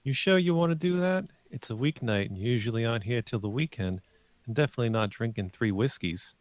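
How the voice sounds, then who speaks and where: A man's voice: mid-range voice, expressive, fast, dry recording, natural voice. A man playing a wry, teasing young female bartender in a screenplay table read.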